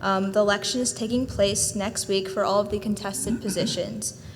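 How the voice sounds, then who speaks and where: Brisk teenage girl in a reverberant room. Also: steady tone. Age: late teens. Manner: brisk and matter-of-fact, some "um" fillers. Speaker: student association treasurer. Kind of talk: brief formal report. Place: school board meeting room. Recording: reverberant room with faint rumble.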